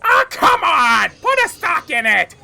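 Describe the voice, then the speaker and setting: A woman voicing a bumbling male cartoon villain, a frantic mad scientist with a sharp, strained voice who rambles and exclaims theatrically. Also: high-pitched, huge pitch swings, a little slow, gravelly, heavily acted. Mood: panicked. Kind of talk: cartoon fan tribute monologue.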